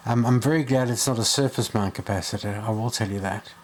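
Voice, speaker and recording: A man narrates a casual repair video. His voice is mid-pitched, and he thinks aloud informally as he works. Some background noise sits behind the speech. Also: brisk. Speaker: video host and radio repair hobbyist. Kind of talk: casual hands-on repair vlog.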